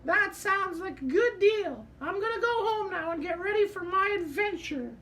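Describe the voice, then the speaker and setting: A man voicing an eager, sweet puppet heroine in a squeaky falsetto, with childlike drawn-out delivery. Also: lively intonation, sharp tone, heavily acted. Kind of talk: puppet show dialogue.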